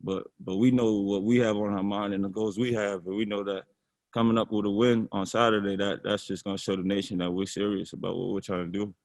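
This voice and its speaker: Young male athlete, lowish voice, easygoing, restarts his words, dry close sound. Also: fairly quick, dry recording. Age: young adult. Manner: relaxed, repeats words while thinking. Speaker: college basketball player. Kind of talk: casual interview answers.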